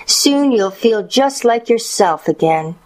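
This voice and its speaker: A woman's voice: on the high side, expressive, takes it slowly, darkish tone, voice pushed up, low-quality recording. A woman voicing a sweet, innocent little granddaughter in a children's storybook, earnest and wide-eyed. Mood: sweet, eager.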